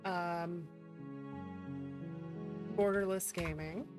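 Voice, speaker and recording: A woman's voice: fairly low pitch, fairly even intonation, very slow, music in the background. A woman hosting a livestream in a casual, chatty and playful way. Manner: playful, chatty, thanks and greets viewers. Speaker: livestream host, VTuber.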